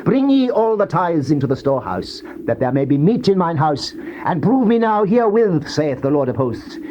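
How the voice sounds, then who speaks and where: An actor playing a stern God of Israel speaking judgment, in a solemn, declamatory dramatized scripture reading. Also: male voice, fairly high pitch, very animated, normal speed, mellow. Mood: accusing, urgent. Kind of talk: dramatized scripture reading, classical stage delivery. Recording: muffled, noisy old cassette tape.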